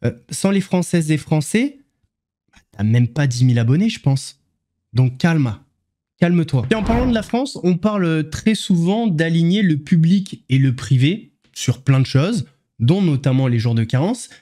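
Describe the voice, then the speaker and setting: Mellow-voiced sarcastic male commentator. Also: fairly high pitch, lively intonation, normal speed. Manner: mocking, ironic asides. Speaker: online commentary host.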